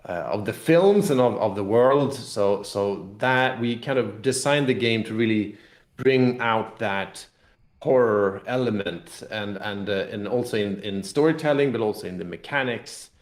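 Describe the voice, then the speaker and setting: A man's voice: fairly low pitch, unhurried. A man explaining things casually as an interview guest, with loose, rambling phrasing. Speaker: game designer and CEO, interview guest. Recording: echoey, roomy sound.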